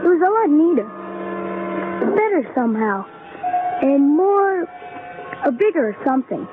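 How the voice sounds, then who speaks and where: A woman's voice: high-pitched, sing-song, measured pace, music in the background. A woman playing a casual, matter-of-fact young boy who is innocent yet sinister, in a radio horror drama. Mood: eerily pleased. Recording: tinny, noisy old-radio sound.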